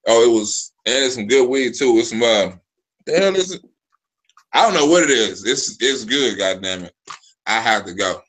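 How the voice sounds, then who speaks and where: A man's voice: mid-range voice, expressive, normal speed, fairly bright tone, low-quality recording. A man in his forties hosting a live stream, telling loose, profane stories full of restarts. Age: 40s. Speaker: live show host. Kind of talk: casual live-stream chat.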